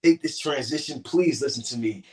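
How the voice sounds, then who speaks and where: A man's voice: mid-range voice, moderate pace, darkish tone, distant mic. A man speaking earnestly in an interview, often repeating words and asking "you know what I'm saying", and also reading a poem aloud. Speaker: interview guest, poet. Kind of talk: casual interview talk and poetry reading.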